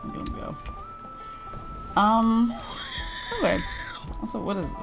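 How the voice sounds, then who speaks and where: A woman's voice: on the high side, unhurried, creaky, over music, rough recording. A woman livestreaming a video game, chatting casually and reading game text aloud in a giggly, reactive manner.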